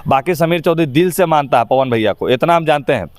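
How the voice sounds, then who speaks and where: A man's voice: on the high side, expressive, brisk, no room sound. A man with a Bihari accent giving his opinions in an informal interview, speaking emphatically in colloquial, rustic phrasing. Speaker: interview guest, actor.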